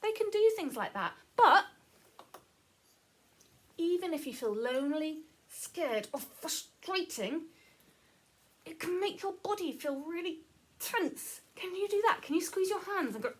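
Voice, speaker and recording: A woman reading a story aloud to children as a teacher, explaining as she goes in an expressive, sing-song manner with questions to the listeners. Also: extremely high pitch, measured pace, pauses often.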